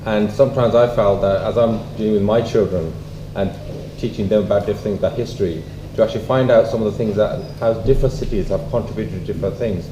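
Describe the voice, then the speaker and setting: Deep-voiced man, dull echoey recording. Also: fast talker, few pauses, noisy background. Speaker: event speaker. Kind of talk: reflective comments at a community event. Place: echoey room at a public event.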